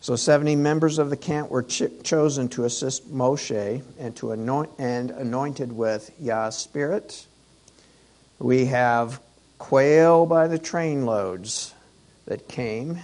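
A hoarse man working through a Torah portion at an unhurried pace. His voice is worn but he keeps it chatty, pausing to restart phrases and posing questions to his listeners. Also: mid-range voice, a little mellow. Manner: conversational, rhetorical questions, many restarts. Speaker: Torah teacher.

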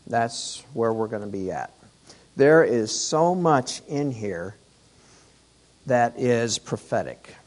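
A hoarse man working through a Torah portion at an unhurried pace. His voice is worn but he keeps it chatty, pausing to restart phrases and posing questions to his listeners. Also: medium pitch, animated. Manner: conversational, rhetorical questions, many restarts. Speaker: Torah teacher.

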